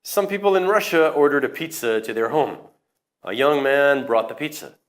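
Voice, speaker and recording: A man reading a short, simple news story aloud in a plain, measured way. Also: medium pitch, lively intonation. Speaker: news reader.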